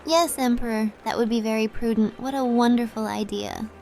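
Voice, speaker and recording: A woman as a mellow, creaky-voiced sorceress. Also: a little high, fairly even intonation, a little hiss or hum. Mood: soothing, knowing. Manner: measured, mysterious, coy. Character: sly, composed female sorceress and seer. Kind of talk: theatrical fantasy audio drama.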